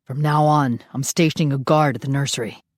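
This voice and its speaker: Woman voicing a calm commanding man. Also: on the low side, quick pace, no echo, clean studio sound, natural voice. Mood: steady. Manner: quiet, steady. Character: commanding husband and father, calm.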